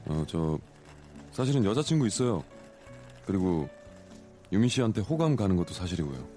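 A man's voice: sits low, very animated, normal speed, warm tone, faint music behind. A man playing an earnest, forward suitor of thirty-one in a radio story reenactment. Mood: earnest confession. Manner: casual, pushy, earnest. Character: forward, earnest 31-year-old man courting a woman.

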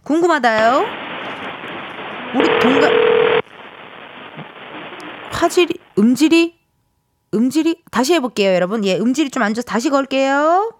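Animated, high-voiced woman hosting radio. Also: normal speed, long pauses, low-quality recording. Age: 30s. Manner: playful, animated, teasing. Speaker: radio host. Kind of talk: casual radio chat with listeners. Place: broadcast studio.

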